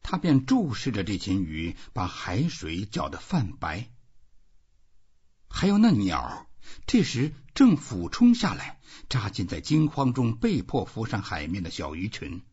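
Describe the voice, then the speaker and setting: A man narrating a literary audiobook in an expressive reading style. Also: fairly low pitch, unhurried, slightly rough. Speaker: audiobook reader. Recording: dull, muffled lo-fi audio.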